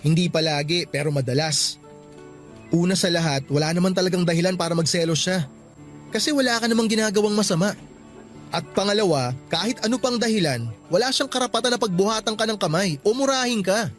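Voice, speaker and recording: A man acting as a protective young Filipino office guy in a radio drama. His voice sits high and moves quickly, earnest and emphatic as he looks out for his friend. Light music plays underneath. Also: close to an everyday voice. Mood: indignant, protective. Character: caring, protective young male office worker, indignant friend.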